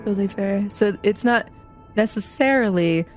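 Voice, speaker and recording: A woman's voice: medium pitch, moderate pace, faint music behind. A woman playing a tabletop roleplaying game, talking casually in a wry, joking way. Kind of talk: casual banter.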